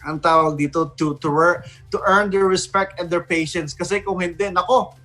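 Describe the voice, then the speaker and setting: A Filipino-accented man hosts a casual online radio talk. His voice sits a little high, and he feels his way through his sentences, slipping between English and Tagalog. Faint music plays behind him. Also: steady tone, fairly quick, sharp tone. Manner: hesitant phrasing, mixes English and Tagalog.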